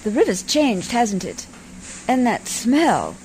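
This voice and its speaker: A woman's voice: on the high side, huge pitch swings, slow, darkish tone, a little hiss or hum, exaggerated voice, low-quality recording. An actress playing a prim but plucky and tender woman adventurer in an old-time radio drama, with genteel, earnest phrasing. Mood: anxious, steadfast.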